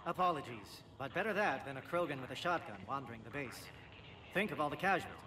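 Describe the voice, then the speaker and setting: A man playing a brisk amphibian alien military officer in a video game, speaking in a clipped, formal, dry way. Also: a little high, animated, natural voice. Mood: brisk, wry. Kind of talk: video game cutscene acting. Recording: faint background noise in game capture.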